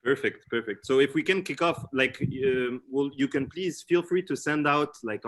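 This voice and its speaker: A man hosts a webinar and puts questions to a guest. His pitch sits in the middle for a male voice. He speaks semi-formally, hesitating often and filling gaps with ums. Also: fairly bright tone. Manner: hesitant, halting delivery full of ums. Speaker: webinar host and interviewer.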